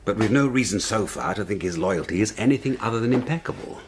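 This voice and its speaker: An actor playing a cautious, evasive British intelligence official in a radio drama, measured, formal and placating. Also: male voice, lowish voice, animated, noisy background, natural voice.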